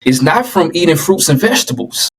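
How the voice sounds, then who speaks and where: A man's voice: fairly high pitch, big pitch swings, brisk, husky. A man speaking as a podcast guest and vegan coach, earnest and emphatic, often repeating phrases for stress. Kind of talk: casual podcast conversation.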